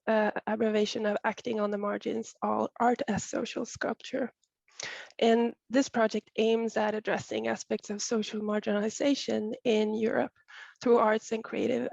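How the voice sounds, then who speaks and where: A woman giving an academic presentation over a video call, raspy from a cold and clearing her throat often. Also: a little high, a little mellow, no echo, lo-fi sound. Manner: measured, careful, frequent throat clearing. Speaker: seminar presenter.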